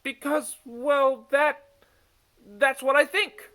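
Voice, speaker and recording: A timid, kindly storybook woman, put on by a male reader, pitched well up. She is earnest and halting in her speech. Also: fairly even intonation, bright, forceful tone, full character voice. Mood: flustered. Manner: hesitant, earnest.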